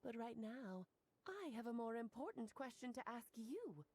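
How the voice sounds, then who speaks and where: A woman's voice: on the high side, very animated, moderate pace, darkish tone, breathy edge, full character voice. A woman playing a breezy, self-assured, motherly mage in a video game, articulate and theatrical, playfully explaining things. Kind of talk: video game voice acting, expository dialogue.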